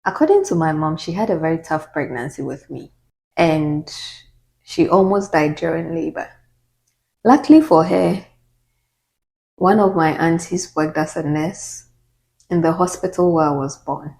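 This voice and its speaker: Ghanaian woman YouTuber, deep voice, calm and measured, chatty Q&A vlog. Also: creaky, a little hiss or hum. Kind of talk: casual get-to-know-me Q&A.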